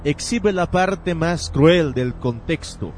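A man's voice: on the high side, animated, slow pace, a little hiss or hum. A man reading a scripted film review as a radio presenter. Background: faint music.

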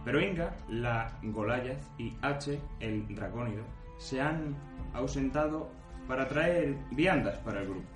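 Man playing a tongue-in-cheek storyteller, mock-solemn fantasy narration, medium pitch, echoing room, music underneath. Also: animated, unhurried, lightly acted. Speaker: narrator of an audio drama. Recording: roomy echo with music behind.

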